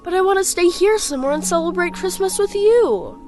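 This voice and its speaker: A woman's voice: extremely high pitch, animated, a little fast, a little mellow, soft background music. A woman playing a curious, earnest young boy in an audio storybook, with a childlike manner. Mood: pleading. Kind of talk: audio storybook character acting.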